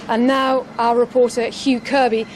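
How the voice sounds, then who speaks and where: British newswoman outdoors, slightly high voice. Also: flat delivery, normal speed. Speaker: television news presenter on location. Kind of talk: live news presenting. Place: outdoors on a town street. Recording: faint outdoor street and vehicle noise.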